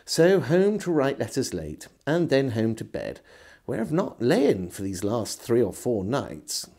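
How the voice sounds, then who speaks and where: This is a middle-aged man with an English accent, narrating a historical diary. His voice sits at an average male pitch and moves very expressively up and down. The delivery is measured and literary, in the manner of an old-fashioned storyteller. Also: huge pitch swings, creaky, mellow. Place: small indoor room lined with shelves.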